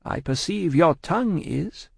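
Guy doing a composed cold french madame for an audiobook, higher voice, slow careful words, very dry recording. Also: expressive, vocal fry, darkish tone, breathy edge, no room sound, exaggerated voice. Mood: dry, cutting. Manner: measured, deliberate, curt. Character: composed, cold French tavern-keeper's wife, knitting and unyielding.

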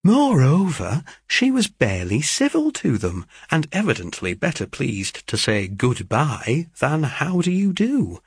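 An excitable young sister, played by a male reader in a classic storybook reading. The delivery swoops with gossipy emphasis over a slightly husky, dry-recorded voice. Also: fairly high pitch, unhurried, few pauses, dry recording, pitched above the performer's own voice, exaggerated voice. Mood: excited, gossipy. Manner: chattering, breathless with gossip, emphatic. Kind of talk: dramatised audiobook reading of a classic novel.

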